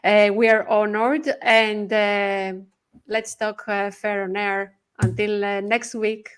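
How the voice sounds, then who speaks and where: A woman hosting a live podcast interview, speaking briskly with frequent filler pauses. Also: medium pitch, level tone, smooth. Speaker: podcast host.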